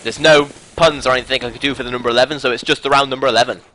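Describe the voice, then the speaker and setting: A man's voice: medium pitch, fairly even intonation, racing, sharp tone, slight background noise. A young British man casting a live esports match, upbeat and rambling, joking between rounds on a headset mic. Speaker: esports caster. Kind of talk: live game commentary.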